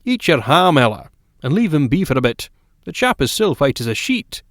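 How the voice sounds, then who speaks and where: A man's voice: on the high side, sing-song, moderate pace, no echo, clean studio sound, pitched above the performer's own voice. A man voicing a brusque, commanding male newspaper man who speaks bluntly.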